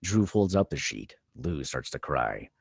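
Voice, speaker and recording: A man's voice: rumbling low, very animated, unhurried, vocal fry, breathy edge, no echo, clean studio sound. A man narrating screenplay stage directions at a table read, reading scripted scene descriptions.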